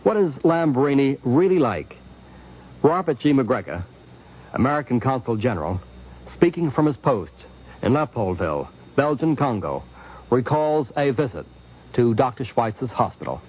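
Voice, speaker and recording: A man's voice: medium pitch, sing-song, moderate pace, husky. A man narrating a scripted 1950s radio documentary in a formal, rhetorical manner. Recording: dull vintage 1950s radio recording.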